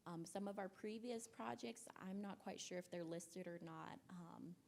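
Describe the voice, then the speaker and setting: A woman with a lowish, quiet voice answers questions on a conference panel. She hesitates often and fills her pauses with ums, and faint noise sits underneath. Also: creaky. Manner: soft-spoken, hesitant with many ums. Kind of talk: conference talk.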